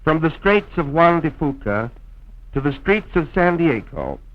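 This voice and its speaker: A man's voice: a little high, very animated, a little slow. A man speaking as narrator and announcer in the formal, scripted manner of mid-century radio. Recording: dull, lo-fi old film soundtrack.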